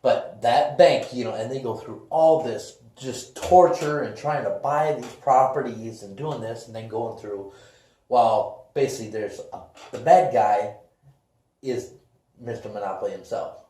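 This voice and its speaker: A man with an average-pitched voice co-hosts a casual podcast. He builds up each point with emphasis and interjections. The room echoes strongly, with faint noise behind him. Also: unhurried, dark tone. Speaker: podcast co-host. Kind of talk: casual video podcast chat.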